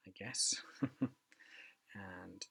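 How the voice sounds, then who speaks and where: Husky man giving a casual software demo. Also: low-pitched, extremely slow. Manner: relaxed, thinking aloud with many ums and asides. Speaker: product demonstrator.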